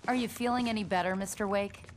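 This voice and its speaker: An actress playing a steady, businesslike small-town sheriff in a video game, polite but firm in questioning. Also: female voice, mid-range voice, quick pace, a little hiss or hum, natural voice. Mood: probing.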